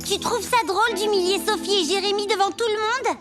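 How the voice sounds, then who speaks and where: An outspoken cartoon schoolgirl. The voice is very high and youthful, with a blunt delivery, and music runs behind it. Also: fairly quick. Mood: accusing. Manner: blunt, accusing. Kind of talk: French children's cartoon dubbing.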